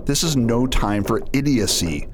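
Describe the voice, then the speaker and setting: An actor playing a ruthless, arrogant scientist villain in a space opera radio drama, scornful and domineering in manner. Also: male voice, mid-range voice, animated, measured pace, noisy background, close to an everyday voice.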